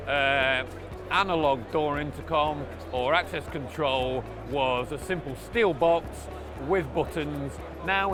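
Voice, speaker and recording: A man hosting a conversational product interview, explaining points and prompting his guest with tag questions. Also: fairly high pitch. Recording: background music under the voice.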